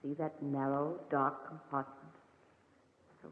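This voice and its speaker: An actress playing a commanding, uncanny woman occultist in a 1940s radio mystery, speaking with theatrical, deliberate intensity. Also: low-pitched, little pitch movement. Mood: cold, resolute. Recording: echoey, noisy, tinny old radio recording.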